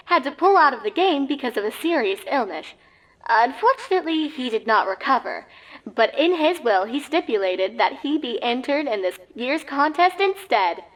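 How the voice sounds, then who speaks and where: Woman putting on an animated pony sports announcer. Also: high voice, voice pushed up, low-quality recording. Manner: punchy, announcer-like, straight-faced. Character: excitable pony sportscasters calling a championship live. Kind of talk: sports commentary parody.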